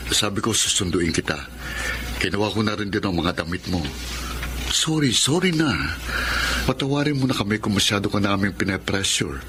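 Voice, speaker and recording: A man's voice: lowish voice, lively intonation, moderate pace, noisy background, close to an everyday voice. A man with a Filipino accent acting a caring, apologetic husband in a radio drama, speaking conversationally. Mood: pleading, apologetic. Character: apologetic, caring husband who runs a market stall.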